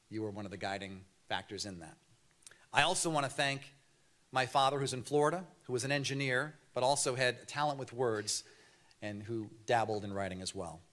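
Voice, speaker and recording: A man's voice: mid-range voice, fairly bright tone. Earnest man giving an award speech. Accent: mild Boston. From Boston area, USA. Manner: measured, earnest delivery with light storytelling humor. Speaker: TV news anchor accepting an award. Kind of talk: prepared award acceptance speech. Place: banquet hall podium. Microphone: podium microphone.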